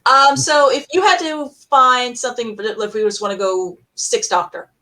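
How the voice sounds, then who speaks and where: A woman's voice: a little high, moderate pace, sharp tone, a little hiss or hum, low-quality recording. A woman hosting a casual fan panel, talking in a chatty, rambling way. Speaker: panel host.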